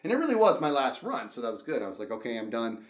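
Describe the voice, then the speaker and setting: A middle-aged man telling a casual, rambling comedic story in an animated way, acting out dialogue and reactions as he goes. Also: medium pitch, fast talker, echoey room. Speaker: storyteller and show host.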